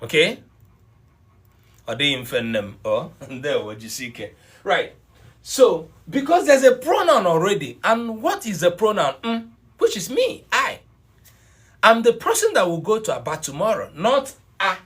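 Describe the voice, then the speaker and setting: A man's voice: high-pitched, wildly swooping pitch, a little slow, a bit bright. A middle-aged man teaching an online language class, explaining points patiently and repeating them. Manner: explanatory, repeats points, greets students by name. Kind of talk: live online class lecture.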